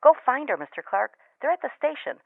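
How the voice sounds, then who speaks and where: A woman's voice: sits high, big pitch swings, fairly quick, vocal fry, no room sound, exaggerated voice. A woman acting a distraught, pleading older mother in a radio drama, heard through a telephone filter. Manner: pleading, fretful. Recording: tinny phone line, music.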